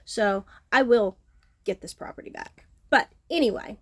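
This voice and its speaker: A middle-aged woman with a nasal, sniffly voice, chatting casually on her home vlog and filling pauses with ums. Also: on the high side, fairly bright tone. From Texas Gulf Coast. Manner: frequent ums, self-deprecating, chatty. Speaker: vlogger, horticulturist. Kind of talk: casual talking-to-camera vlog. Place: outdoors at home, front porch.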